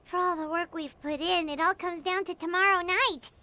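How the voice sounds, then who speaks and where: A woman voicing a small, excitable, chatty fairy-like girl companion in a video game, with a perky, childlike manner. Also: extremely high pitch, normal speed. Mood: determined, uneasy. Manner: perky, refers to self in third person. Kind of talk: video game character acting.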